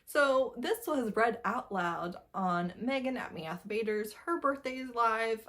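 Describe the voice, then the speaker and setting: A woman's voice: fairly high pitch, expressive, a little slow, fairly bright tone. A woman giving a casual book review in a giggly, gushing way, often repeating words for emphasis. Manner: giggly, laughs often while talking. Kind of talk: casual monthly reading wrap-up chat.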